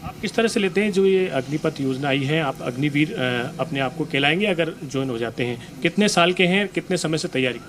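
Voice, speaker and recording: A man reporting in the field, asking protesting young men questions, with halting fillers. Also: on the high side, lively intonation, fairly bright tone, a little hiss or hum. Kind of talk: street interview questions.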